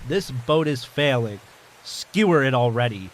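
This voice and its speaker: A man's voice: medium pitch, lively intonation, a little slow, noisy background, natural voice. A man playing a ruthless armed bank robber in an audio drama, speaking in a domineering, commanding, menacing way. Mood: barking orders.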